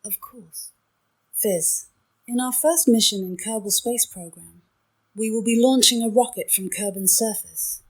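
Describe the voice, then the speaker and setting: Slightly husky female AI assistant. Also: on the high side, a little mellow, low-quality recording. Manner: formal, measured, helpful. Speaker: AI voice assistant companion. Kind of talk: clear explanatory briefing, scripted-sounding.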